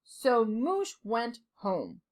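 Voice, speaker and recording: Slow, high-voiced woman reading a storybook. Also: wildly swooping pitch. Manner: deliberate, dramatic pauses for young listeners. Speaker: teacher reading a storybook to children. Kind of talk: expressive children's storybook read-aloud.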